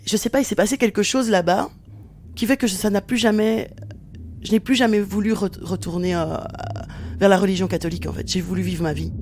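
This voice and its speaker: A woman's voice: mid-range voice, fairly even intonation, a little fast, darkish tone, a little hiss or hum. Candid woman in her forties. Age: 40s. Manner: candid, reflective, with hesitant restarts. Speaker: interviewee, sociology professor. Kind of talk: personal testimony in an interview.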